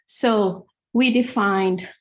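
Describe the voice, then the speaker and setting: A middle-aged woman with a Hebrew accent giving a university lecture in a large hall, halting with many fillers. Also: medium pitch. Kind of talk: classroom lecture.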